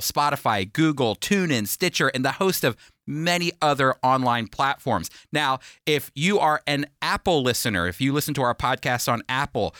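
A man's voice: fairly high pitch, pushed and bright, no room sound, clean studio sound. An American man hosting a radio Bible teaching program, speaking in an earnest, emphatic, conversational way.

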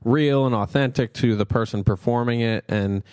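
A man co-hosting a podcast, a stand-up comic who keeps the talk easy and agreeable. His pitch is mid-range. The sound is dry and close-miked. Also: moderate pace, a little mellow, smooth, dry recording. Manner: agreeable, frequent "yeah" backchannels. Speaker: podcast co-host and stand-up comedian. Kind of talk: casual podcast chat.

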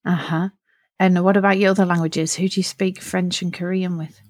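A woman's voice: fairly low pitch, level tone, brisk, a little mellow, dry recording. A middle-aged woman hosting a podcast, patiently asking a child simple, encouraging follow-up questions. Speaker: podcast host interviewing a child. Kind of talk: friendly interview questions.

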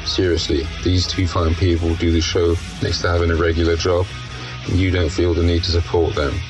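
A man calling in with a slow, earnest recorded promo urging listeners to support the show. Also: low voice, level tone. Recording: promo with music bed behind. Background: plucked guitar music.

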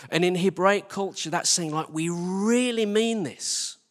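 A male preacher with a fairly high voice speaks in a long, churchy reverb. He delivers a conversational sermon with emphatic phrasing. He poses rhetorical questions and repeats key words to drive them home. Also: unhurried. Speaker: church team leader preaching. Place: large reverberant indoor church space.

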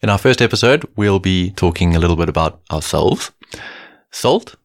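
A man's voice: low-pitched, lively intonation, normal speed. A man co-hosting a podcast, introducing the show and asking easygoing, friendly questions. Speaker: podcast co-host. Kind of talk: podcast intro and casual chat.

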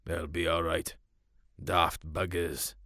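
A man voicing a gruff, hot-tempered Welsh farmer father in a short story, blunt and scolding. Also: low voice, little pitch movement, slow pace, pushed and bright, dry recording, clean studio sound, voice pushed down, full character voice. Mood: dismissive.

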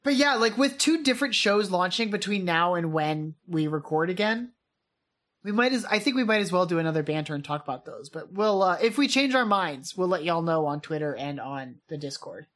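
A woman's voice: fairly low pitch, expressive, a little fast. A woman co-hosting a podcast, chatting casually and teasingly like an enthusiastic fan. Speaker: podcast co-host. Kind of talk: casual banter podcast chat.

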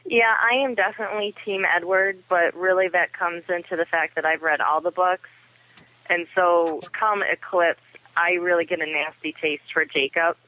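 A woman's voice: on the low side, fairly even intonation, normal speed. A woman giving emphatic opinions as a fan reviewer in a casual podcast discussion. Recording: tinny phone line, dry.

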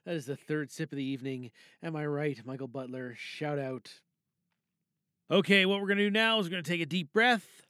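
Podcast host, casual and rambling, with a bright, forward voice and a very dry sound. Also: medium pitch, brisk, no room sound. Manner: rambling, thinks aloud. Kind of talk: casual chat.

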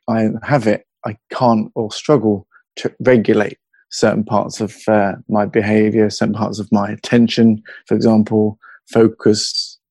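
A man's voice: fairly low pitch, darkish tone, no room sound. A man with a Hertfordshire accent, a podcast guest chatting casually who rambles from one thought to the next and laughs easily. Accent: Hertfordshire English. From England. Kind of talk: casual interview over a call.

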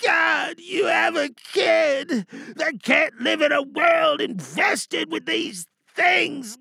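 A man playing a dying, infected space commander, gruff and desperate, barking strained orders with a rasping voice in a sci-fi audio drama monologue. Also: extremely high pitch, animated, takes it slowly, bright, forceful tone, dry recording, raised voice, pitched above the performer's own voice, full character voice. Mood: desperate, commanding. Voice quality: gravelly, rasping.

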